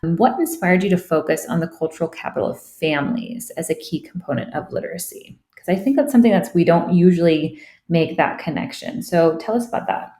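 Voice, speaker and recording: A woman's voice: on the low side, fairly quick, hardly any pauses, dark tone. A woman hosting a podcast interview, enthusiastic and affirming, talking in a loose conversational way with many fillers. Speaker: podcast host. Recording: echoey room.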